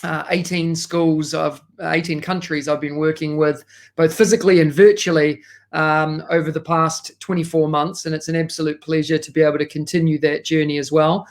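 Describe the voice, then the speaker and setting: A man's voice: on the high side, flat delivery, moderate pace. A man with a New Zealand accent, talking enthusiastically as a podcast guest, with frequent fillers. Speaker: guest, education consultant. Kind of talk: casual podcast interview.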